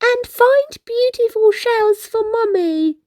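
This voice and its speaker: A woman voicing an excited, curious young boy in a storybook, eager and full of exclamations. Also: extremely high pitch, takes it slowly, no echo, clean studio sound, pitched above the performer's own voice, full character voice.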